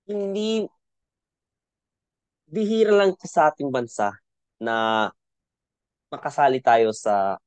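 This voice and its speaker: A man's voice: mid-range voice, smooth, no echo. A man with a Filipino accent, whose first language is Tagalog, hosting a solo sports podcast and mixing English and Tagalog with frequent restarts. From Philippines. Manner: switches between English and Tagalog, halting with restarts and fillers. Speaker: podcast host.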